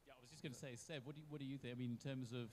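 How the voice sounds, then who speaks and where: A man's voice: mid-range voice, fairly even intonation, racing, a little mellow, a little hiss or hum. A man on a panel who thinks aloud as he talks, often restarting his sentences. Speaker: panelist from a blockchain company. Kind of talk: online panel discussion.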